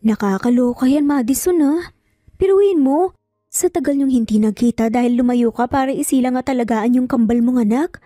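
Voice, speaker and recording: Woman acting a chatty Filipina big sister, high voice. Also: dark tone, no room sound, clean studio sound. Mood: incredulous. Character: caring, teasing older sister, adult woman.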